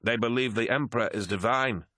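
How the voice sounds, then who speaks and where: A man as a formal adviser, pitched up. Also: pushed and bright, no room sound, clean studio sound. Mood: deferential. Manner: measured, obsequious, formal. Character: anxious-to-please male military adviser, formal and deferential.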